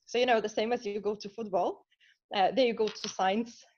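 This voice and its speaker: A woman from Lithuania with a Lithuanian accent, a scientist answering interview questions earnestly, with many pauses and fillers. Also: medium pitch, steady tone, a little mellow, muffled recording, a little hiss or hum. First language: Russian. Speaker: scientist guest.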